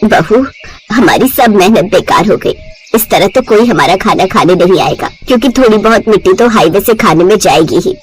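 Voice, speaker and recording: A woman's voice: mid-range voice, expressive, moderate pace, a little hiss or hum, voice pushed down, natural voice. A woman voicing an emotional, wronged older mother-in-law in a story. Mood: despairing.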